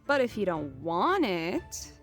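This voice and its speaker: A woman's voice: medium pitch, very animated, soft background music. A woman playing a brash, swaggering female adventurer who drives a hard bargain in a fantasy audio roleplay. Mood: shrewd, firm. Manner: bold, brisk, swaggering.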